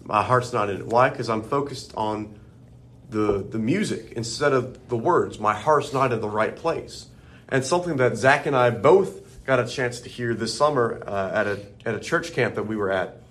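A man with a deep bass voice co-hosting a podcast, speaking in a friendly, conversational way and checking in with his listeners. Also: normal speed, a bit bright. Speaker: podcast co-host. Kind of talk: casual religious discussion.

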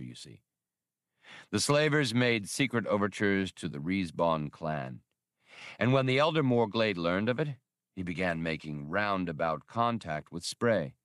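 A man's voice: sits low, fairly bright tone, no room sound, clean studio sound, exaggerated voice. A man voicing a suave, mustached veteran gunman in an audiobook, speaking in a polished, coolly amused manner.

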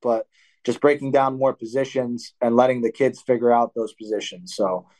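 A man's voice: medium pitch, moderate pace, rough recording. A young man, a wrestling coach, talking casually in an interview, often restarting and correcting himself, and livening up when he acts out a practice drill. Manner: rambling, self-correcting, animated when acting out drills. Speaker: wrestling coach and athlete, guest.

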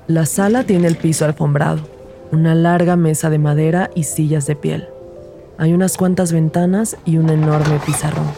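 Rookie detective, audio drama narrator-lead; soft dark tone, measured and earnest. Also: female voice, low-pitched, fairly even intonation, creaky, faint music behind. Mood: measured, grave. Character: earnest rookie woman detective narrating her first case.

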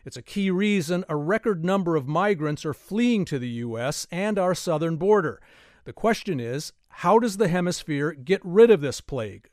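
A man hosting a public radio talk show from a studio, speaking in a measured, clearly enunciated way. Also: fairly high pitch, no room sound. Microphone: studio microphone.

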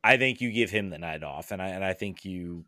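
A man's voice: deep voice, a little fast, sharp tone, no room sound, clean studio sound. A man giving casual soccer analysis as a guest, hesitant and working through his thoughts as he talks. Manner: hesitant, thinks aloud, hedges. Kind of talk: casual sports discussion.

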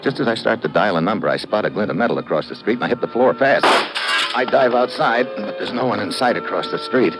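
A man's voice: on the low side, animated, fast talker, close to an everyday voice, low-quality recording. An actor playing a wry, hard-boiled American investigator who also narrates his case in an old radio drama. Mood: tense narration. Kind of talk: old-time radio detective drama, first-person narration.

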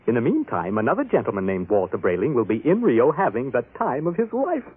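A self-satisfied, scheming husband, breezy and theatrical, his husky voice swinging widely in pitch. It is vintage radio acting on a thin, boxy broadcast recording. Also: huge pitch swings, brisk, phone-quality sound. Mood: smug, pleased. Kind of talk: old-time radio drama acting. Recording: band-limited old radio broadcast recording.

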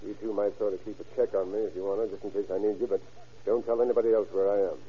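A man's voice: lowish voice, steady tone, rapid-fire, husky. An American man playing a confident, easygoing police captain in a radio crime drama, talking in a folksy, assured way. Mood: confident, jovial. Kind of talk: old-time radio crime drama acting. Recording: narrow, tinny vintage radio broadcast.

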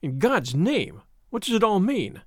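A man's voice: sits high, sing-song, a little slow, dry recording, studio quality, full character voice. A man voicing a puzzled male doctor who speaks in an earnest, bewildered way.

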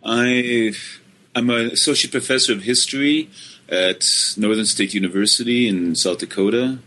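A middle-aged man from Finland, a history professor telling his story in an interview, halting with many restarts. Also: mid-range voice, sharp tone. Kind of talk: relaxed interview answers.

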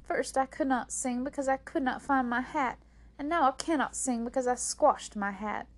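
A woman reading a storybook, voicing a bossy, excitable sister who is quick to fuss, in an exclamatory, dramatic way. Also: sits high, voice pushed up, lightly acted. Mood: sulky, dramatic.

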